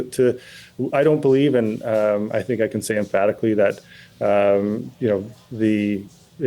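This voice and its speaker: A man answering in a news interview as a pilots' representative, speaking in a careful, measured, halting and diplomatic way. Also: on the low side, warm tone. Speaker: pilot and pilots' association president, interview guest.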